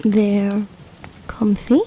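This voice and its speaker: A woman playing an imperious, amorous serpent empress in a fantasy audio drama, speaking formally and seductively in a breathy voice that often drops to a whisper. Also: medium pitch, little pitch movement, mellow, a little hiss or hum, rough recording. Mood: seductive, longing. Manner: slow, commanding, formal, seductive. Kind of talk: romantic fantasy audio roleplay.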